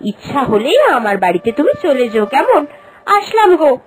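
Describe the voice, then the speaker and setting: A woman's voice: high voice, big pitch swings, brisk, low-quality recording. A woman voicing a young village wife who speaks to her aunt in an affectionate, pleading way. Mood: caring. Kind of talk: animated cartoon voice acting.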